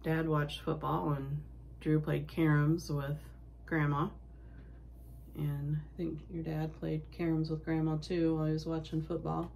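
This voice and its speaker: A woman hosting a casual needlework show-and-tell, sniffling with a cold and talking in a halting, rambling, homespun way. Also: sits low, monotone, darkish tone. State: sick and sniffling. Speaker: needlework vlog host. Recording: clearly noisy home recording.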